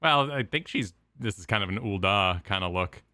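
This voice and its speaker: Very bright, lively man chatting on stream. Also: fairly low pitch, moderate pace, no echo. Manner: joking, informal. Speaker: co-host of a gaming reaction stream. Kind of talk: casual chat reacting to game cutscenes.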